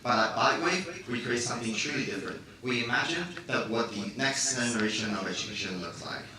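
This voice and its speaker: A man delivering the rehearsed, declarative opening of a hackathon pitch. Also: expressive, fairly quick. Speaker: hackathon team presenter. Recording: echoey hall from far off with crowd.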